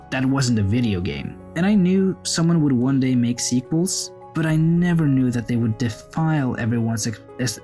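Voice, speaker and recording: Guy reading his viewer message, thoughtful, bit halting, soft guitar behind. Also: mid-range voice. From Norway. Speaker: viewer contributor. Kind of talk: read-out personal statement.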